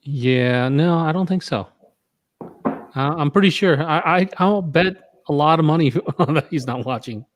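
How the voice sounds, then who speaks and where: A man's voice: fairly high pitch, unhurried, a little hiss or hum. A man co-hosting a live stream, chatting in a laid-back, easygoing way and asking questions. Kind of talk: casual chat. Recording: dry close sound.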